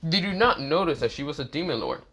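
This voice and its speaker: A man's voice: fairly high pitch, sing-song, quick pace, pushed and bright, slightly rough, pitched above the performer's own voice, heavily acted. A man voicing a cocky, foul-mouthed young man who talks bluntly and with swagger.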